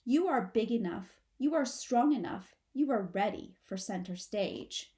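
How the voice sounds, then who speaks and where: A woman's voice: a little high, animated, measured pace, mellow. A woman voicing a mother possum in a storybook, a firm but wistful parent with a stagey, instructing manner. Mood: firm, rousing.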